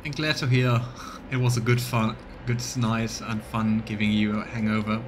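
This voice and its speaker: A man doing live let's play commentary, reading game text aloud and thinking out loud for his viewers. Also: lowish voice, flat delivery, moderate pace, background noise. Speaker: live streamer voicing a game playthrough.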